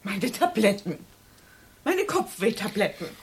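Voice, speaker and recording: A woman's voice: mid-range voice, sing-song, unhurried, breathy edge, exaggerated voice. An actress playing an anxious, cornered woman suspect in a radio crime drama, pleading and defensive in a faltering way. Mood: desperate. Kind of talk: German radio crime play acting.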